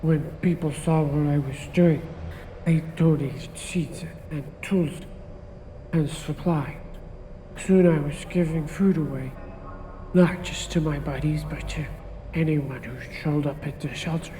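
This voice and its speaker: A man voices a gentle homeless gardener under questioning, slow and unsure, stumbling over his words. His tone is mellow and low-lit, with a long reverb tail and faint hiss behind him in this radio play. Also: fairly even intonation, lightly acted. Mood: wistful. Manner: halting, soft-spoken, hesitant. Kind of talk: audio drama acting.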